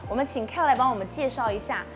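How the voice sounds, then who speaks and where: A woman host, lively and laughing, interviewing a chef. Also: a little high, racing. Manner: lively, laughs often, mixes Mandarin and English. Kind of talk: casual interview. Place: commercial kitchen butchery room, indoors. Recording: music bed under the voice. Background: background music.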